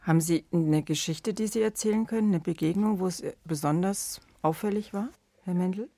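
A woman hosting a radio debate, asking calm and measured questions. Her voice is low and mellow. The studio sound is dry and close. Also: gravelly, no echo. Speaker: radio host, moderator. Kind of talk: radio discussion moderation. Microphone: studio microphone.

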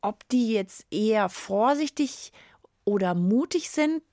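A woman's voice: on the high side, expressive, slow pace, warm tone, no echo, studio quality. A woman co-hosting a children's science podcast in playful, casual chat.

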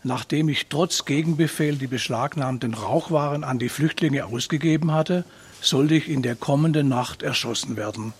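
A man's voice: mid-range voice, moderate pace, husky. A man giving a scripted reading of a first-person testimony. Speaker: reader of testimony.

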